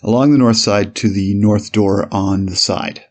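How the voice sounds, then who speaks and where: Man acting 1890s police witness, lower-pitched, measured, deferential, hesitant, formal courtroom drama reading. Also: steady tone, darkish tone.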